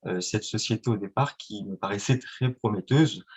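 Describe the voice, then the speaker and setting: A man's voice: lowish voice, moderate pace, a little mellow, breathy edge. A man answering interview questions as a guest, speaking with many fillers and restarts. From France and Italy. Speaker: guest, independent trader. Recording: dull lo-fi sound.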